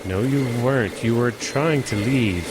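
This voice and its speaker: A middle-aged man playing a troubled father with a measured, parental, quietly menacing manner in an audio horror drama. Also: mid-range voice, background noise, close to an everyday voice. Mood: calm menace. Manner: measured, eerily mild and parental. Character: troubled, eerily calm menacing father of teenage girls. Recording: loud music under the voice.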